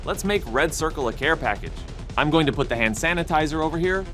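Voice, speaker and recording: A man's voice: a little high, expressive, fast talker, a bit bright, soft background music. A man voicing an upbeat, friendly inventor in a comic dub, chummy and slangy. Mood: showy.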